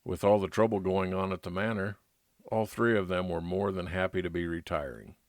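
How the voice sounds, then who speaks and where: A very low male voice tells a private eye's story in the first person. The narration is scripted old-time radio drama, recorded close and dry. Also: steady tone, brisk, dry recording. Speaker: narrator playing a private investigator.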